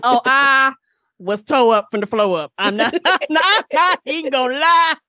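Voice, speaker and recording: A middle-aged woman co-hosting a casual podcast, telling stories in animated slang and swearing freely. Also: sits high, normal speed, no room sound, studio quality. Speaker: podcast co-host. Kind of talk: casual chat and storytelling.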